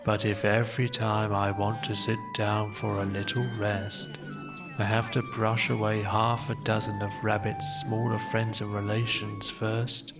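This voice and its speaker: A storybook narrator voicing a gloomy, grumbling old donkey who complains slowly and with resignation. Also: mid-range voice, over loud music, voice pushed down, lightly acted. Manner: slow, flat, resigned complaining.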